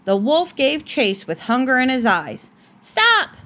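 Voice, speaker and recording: A woman reading a children's bedtime story aloud like a parent, with expressive storytelling. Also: high voice, a little slow.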